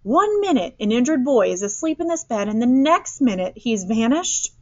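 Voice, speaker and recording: A woman reader playing an indignant, sarcastic father in a story, forceful and demanding. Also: medium pitch, very animated, moderate pace, dry recording, dull audio. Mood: angry.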